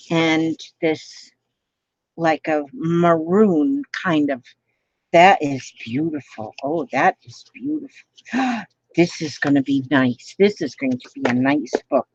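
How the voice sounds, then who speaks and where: Slow, gentle-voiced woman crafter. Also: on the low side, lively intonation, no echo, lo-fi sound. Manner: slow, soft-spoken, gasping over pretty papers. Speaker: crafter hosting a live stream. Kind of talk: casual live crafting chat with viewers. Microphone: phone.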